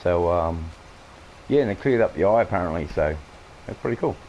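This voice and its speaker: A man with an Australian accent, croaky from coughing, rambling through farming-game commentary with many halting fillers. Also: low-pitched, lively intonation, darkish tone, lo-fi sound, a little hiss or hum. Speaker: gameplay streamer.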